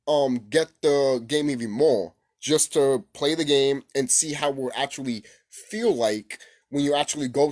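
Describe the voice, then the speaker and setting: A man's voice: mid-range voice, darkish tone. A man giving a casual, rambling opinion as a gaming vlogger, speaking informally and repeating himself. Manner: informal, repetitive, mild swearing.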